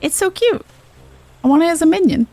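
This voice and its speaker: A woman livestreaming a game, giving casual, playful and expressive commentary with some swearing. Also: extremely high pitch, a little fast, soft background music. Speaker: game streamer.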